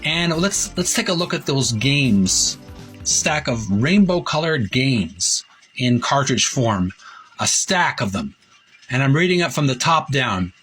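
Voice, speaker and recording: A man hosting a retro gaming video, talking casually in a halting, wordy way, rephrasing himself as he shows and reads printed material. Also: medium pitch, sing-song, fairly bright tone, faint music behind. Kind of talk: casual narrated show-and-tell, reading printed ad copy aloud.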